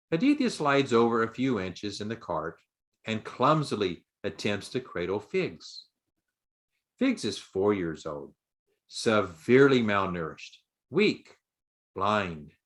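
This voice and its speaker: A man reading a story aloud as a narrator, with measured, deliberate phrasing and dramatic pauses. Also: on the low side, fairly bright tone. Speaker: audiobook narrator.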